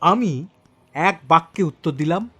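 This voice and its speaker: A man narrates an audio horror tale in the first person, his voice fairly high and very expressive. He phrases each moment deliberately for suspense, over soft background music. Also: slightly rough.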